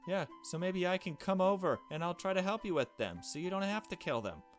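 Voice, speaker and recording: A man's voice: high-pitched, expressive, brisk, soft background music, voice pushed up. A man voicing a meek, earnest young woman from a visual novel, hesitant and polite. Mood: eager. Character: meek, earnest young woman who loves small animals. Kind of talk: visual novel dialogue read aloud.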